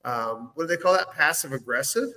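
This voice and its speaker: Bright-voiced man in casual recap chat. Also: on the high side, animated, a little fast. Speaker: host discussing own audio drama series.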